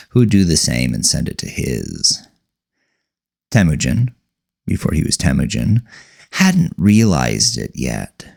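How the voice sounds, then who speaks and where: A man narrating a scripted dark horror story in a grave, measured, dramatic way, drawing out his words. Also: rumbling low, creaky.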